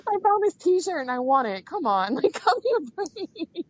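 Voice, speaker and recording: A woman's voice: very high-pitched, very animated, normal speed, lo-fi sound, slight background noise. A woman in her thirties chatting as a guest, self-mocking and chatty, often laughing through her words. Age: 30s. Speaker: caller, guest. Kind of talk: casual chat.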